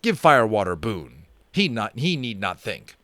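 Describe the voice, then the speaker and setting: A man voicing a stern, tiny warrior chief from centuries ago, speaking in clipped, deliberate broken phrases. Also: lowish voice, very animated, a bit bright, no echo. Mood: firm. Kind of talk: audiobook character voice.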